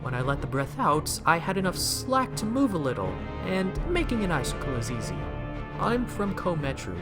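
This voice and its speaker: A man as a dry clever hero, lively intonation. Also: on the high side, normal speed. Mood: self-assured. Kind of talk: motion comic voice acting. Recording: loud music behind.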